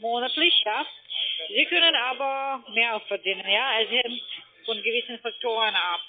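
A woman who is a non-native German speaker, giving a formal, polite telephone sales pitch. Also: moderate pace. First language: not German. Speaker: telephone investment sales agent. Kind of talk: scripted phone sales pitch. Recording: muffled narrow phone line.

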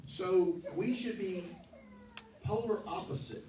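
A man in his forties preaches with a high, swooping voice and emphatic energy, speaking into a handheld mic. He is heard from a distance in a roomy church hall that rings with echo, under a faint hum of background noise. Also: takes it slowly. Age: 40s. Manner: animated, emphatic, pacing the stage. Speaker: pastor. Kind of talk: sermon. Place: church hall, medium-sized, indoors. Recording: echoey hall, from far off.

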